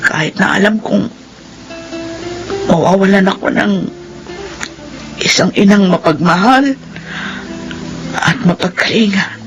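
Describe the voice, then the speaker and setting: A woman playing an understanding older sibling in a Filipino radio drama, speaking in gentle, deliberate phrases. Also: mid-range voice, faint music behind, rough recording. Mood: solemn, pained. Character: understanding older sibling, survivor of a cruel father.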